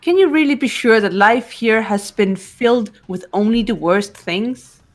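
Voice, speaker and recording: A woman playing a cool, guarded young woman in a fan-voiced game, speaking in a measured, composed way. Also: fairly high pitch. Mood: guarded, calm.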